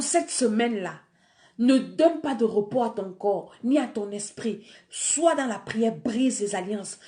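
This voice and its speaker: A fervent woman preacher, leading her listeners through prayer and exhortation. Her voice sits fairly high, and she has a cold. She drops into quiet murmured prayer, then climbs again into urgent preaching. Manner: fervent, swings between soft prayer and rising exhortation. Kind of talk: sermon with guided prayer.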